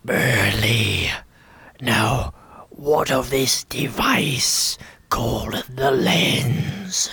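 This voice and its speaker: A man playing a sinister, imperious alien overlord in a science fiction radio drama, in a raspy, menacing whisper full of contempt for underlings. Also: mid-range voice, animated, extremely slow, bright, forceful tone, exaggerated voice. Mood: menacing, contemptuous.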